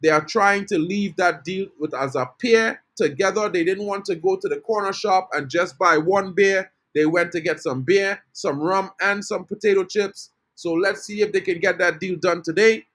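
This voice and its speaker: Chatty man, higher voice. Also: moderate pace, hardly any pauses, a bit bright. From Trinidad. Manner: chatty, greets chat viewers, says bro a lot. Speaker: football fan channel host. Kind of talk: casual live-stream news update.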